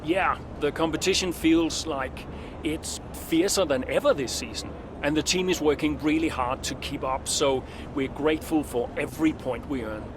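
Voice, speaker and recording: A man's voice: a little high, moderate pace, close to an everyday voice. Man playing a focused racing driver, noisy background. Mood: composed, determined. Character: focused, warm veteran racing driver and father. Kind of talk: naturalistic video game cutscene acting.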